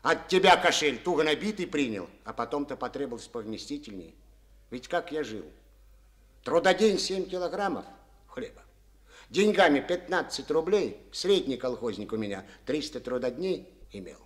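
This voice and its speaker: A man's voice: on the high side, very animated, normal speed. An actor playing a resentful, stubborn collective-farm chairman in a studio radio play, speaking in a folksy way full of proverbs. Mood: bitter.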